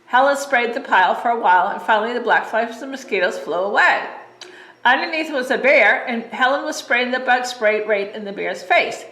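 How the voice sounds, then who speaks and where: A woman librarian reading a bedtime story aloud in a gentle, expressive way. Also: on the high side, normal speed. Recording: roomy echo.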